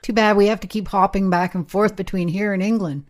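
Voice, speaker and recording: An actress playing a touchy, sarcastic time-travelling camera operator in conversational radio play dialogue. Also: female voice, mid-range voice, fairly even intonation, fairly quick, close to an everyday voice. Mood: sardonic, irritated.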